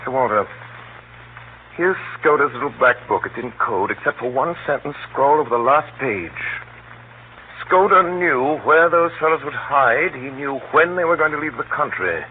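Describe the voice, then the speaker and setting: An actor in a 1930s radio drama playing an insistent, quick-witted man hunting spies, with emphatic theatrical delivery. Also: mid-range voice, lively intonation, natural voice. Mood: intent, deducing. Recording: scratchy, tinny 1938 radio broadcast.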